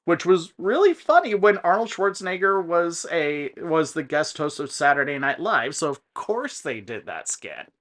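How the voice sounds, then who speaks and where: Bright-voiced man, halting game commentary. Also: a little high, big pitch swings. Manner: halting, digressive explanations. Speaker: video game streamer. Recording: faint music in the background.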